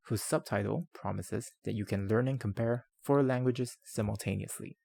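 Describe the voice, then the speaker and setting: A man who speaks Chinese and English natively, narrating a scripted educational video in a measured, articulate, formal way. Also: on the low side, creaky, darkish tone, no room sound. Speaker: language-learning video creator.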